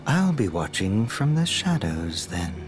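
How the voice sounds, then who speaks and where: A man voicing an obsessive, creepy scientist villain with a sly, drawn-out delivery. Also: lowish voice, very animated, loud music behind the voice.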